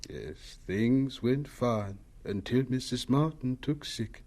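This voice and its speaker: A man playing a gentle, humble older professor reminiscing in a radio drama, husky, reflective and plainspoken. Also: mid-range voice, slow pace.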